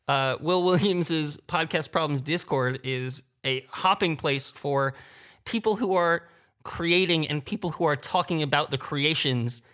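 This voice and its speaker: A man's voice: fairly high pitch, fairly bright tone. A man speaking as a creative panelist in a roundtable discussion. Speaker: panelist, audio drama creator.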